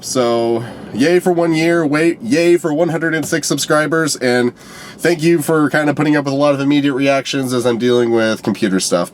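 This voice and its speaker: A young man with a stuffed-up nose giving an unscripted, profane movie review rant, swinging between loud outbursts and low mutters.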